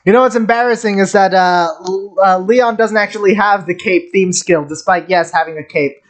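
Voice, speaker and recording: A man streaming casual game commentary, excitable and jokey, rambling as he reacts. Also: sits high, normal speed.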